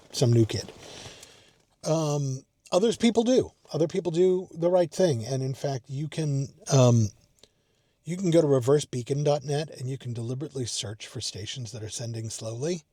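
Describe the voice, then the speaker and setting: A man's voice: mid-range voice, warm tone, no echo. A man talking casually to a vlog from inside a parked car, rambling and thinking aloud in a self-deprecating way. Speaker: amateur radio vlogger. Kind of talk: casual unscripted vlog talk.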